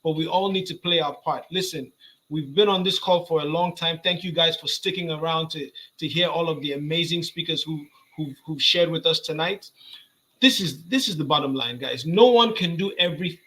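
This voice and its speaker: A young man giving an urging, energetic rallying speech on a video call. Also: a little high, poor audio. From Nigeria. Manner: energetic, urging, repeats points. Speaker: guest speaker.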